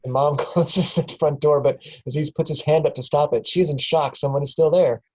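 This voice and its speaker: A man's voice: a little high, fairly even intonation, normal speed, darkish tone, husky. A man reading the stage directions aloud at a script table read, plain with small chuckles.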